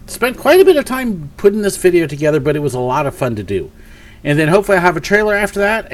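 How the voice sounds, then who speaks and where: A man in his forties, a bit sniffly from a cold, chatting through a live question-and-answer stream from his home office at a desk webcam, upbeat and rambling with lots of fillers. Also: on the high side. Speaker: YouTube host. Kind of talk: live Q&A and mail call. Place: home office with shelves of collectibles.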